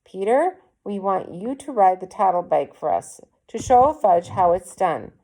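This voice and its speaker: A woman's voice: medium pitch, very animated, measured pace, mellow, a little hiss or hum, low-quality recording. A woman voicing a father in a storybook who reasons and persuades, in an everyday voice. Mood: persuading. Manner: explaining, persuading. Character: reasoning father of two young boys.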